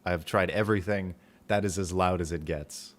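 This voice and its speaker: Soft-spoken deep-voiced man. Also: steady tone, fairly quick. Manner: soft-spoken, low and quiet. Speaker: author and stream host. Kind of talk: casual livestream chat.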